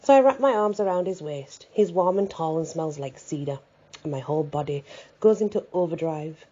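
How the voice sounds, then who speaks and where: A woman reads a first-person story as an audiobook narrator. Her voice is fairly low, mellow and husky, captured on a slightly dull, lo-fi recording. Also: expressive, normal speed.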